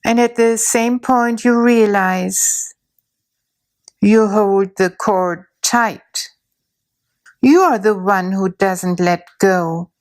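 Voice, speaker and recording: A woman narrating a guided imagery story in a slow, soothing way, with long pauses. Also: mid-range voice.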